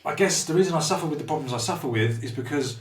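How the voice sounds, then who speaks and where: A man's voice: on the high side, racing. A man in his thirties from Portsmouth with a Portsmouth English accent, talking openly and reflectively as a podcast guest, often restarting his sentences. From England. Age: 30s. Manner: open and reflective, with frequent restarts. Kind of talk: candid personal conversation. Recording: roomy, somewhat distant mic.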